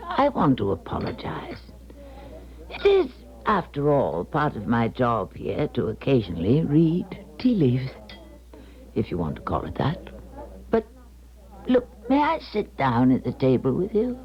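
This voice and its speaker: Elderly clairvoyant, slow and deliberate, swooping intonation, earnest, mysterious, hushed endings, radio drama, muffled broadcast sound. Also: female voice, extremely low pitch, wildly swooping pitch, exaggerated voice. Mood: confiding, earnest. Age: older. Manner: theatrical, deliberate, hushed breathy phrase endings. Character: elderly Russian émigré woman, former clairvoyant, earnest and mysterious. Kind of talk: 1970s radio drama acting, confiding monologue.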